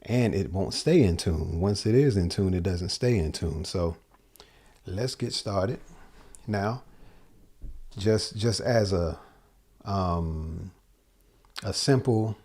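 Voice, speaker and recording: Low, husky-voiced man teaching guitar. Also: slow, pauses often, a little mellow. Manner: loose, rambling, self-correcting explanations. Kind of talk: casual video lesson. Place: small home studio, indoors. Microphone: large dynamic mic on boom arm.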